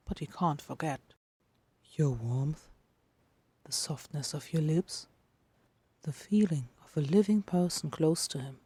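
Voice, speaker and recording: Dramatic fiction narrator, medium-pitched, husky, hushed, deliberate, dry sound. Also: no room sound. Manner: hushed, deliberate, often dropping to a whisper. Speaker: podfic reader and writer.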